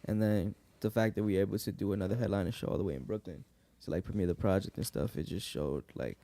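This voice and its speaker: A young man in his twenties from New York, a guest rapper chatting casually in a podcast interview, relaxed and loose with lots of fillers. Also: low voice, fast talker, gravelly, dry recording. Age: 20s. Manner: relaxed, lots of likes and restarts. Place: living room podcast set, indoors. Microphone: boom studio mic.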